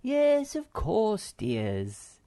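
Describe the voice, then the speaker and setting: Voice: medium pitch, wildly swooping pitch, very slow, mellow, pitched above the performer's own voice, full character voice. Moomin Mamma, a man doing a kind mother troll. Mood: kindly.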